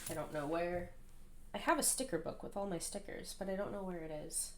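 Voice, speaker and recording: A woman in her thirties with a hoarse voice, chatting casually and rambling while she plans. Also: on the low side, expressive, brisk, a little hiss or hum. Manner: chatty, rambling, self-deprecating. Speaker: planner vlogger. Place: at home, indoors.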